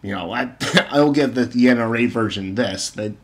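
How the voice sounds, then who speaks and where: Male commentator, medium pitch, informal retelling and opinion, sarcastic emphasis, exasperated rhetorical questions. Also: normal speed. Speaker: video commentator.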